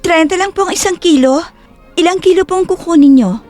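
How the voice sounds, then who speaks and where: A high-pitched young market vendor, acted by a woman, respectful yet guarded in manner. She speaks in clipped, courteous phrases in an audio drama, with faint music behind her. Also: brisk, a little mellow. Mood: brisk, polite.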